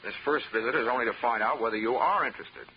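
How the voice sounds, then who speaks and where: An actor playing a wisecracking insurance investigator in a 1940s radio drama, narrating and talking with a dry, wry manner. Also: male voice, mid-range voice, quick pace, a little hiss or hum, close to an everyday voice. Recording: muffled old radio broadcast with music.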